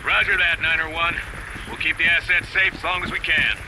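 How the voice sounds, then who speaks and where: An actor playing a disciplined, urgent military radio operator in a war video game, speaking in clipped procedure. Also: male voice, a little high, steady tone, a little fast, noisy background, natural voice. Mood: urgent, controlled.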